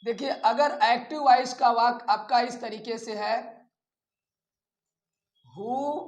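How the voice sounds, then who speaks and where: Slow-paced male teacher, echoey room. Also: very high-pitched. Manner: deliberate, dictating formulas piece by piece, instructive. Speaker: English grammar teacher. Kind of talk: step-by-step classroom lesson. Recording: echoey, roomy sound.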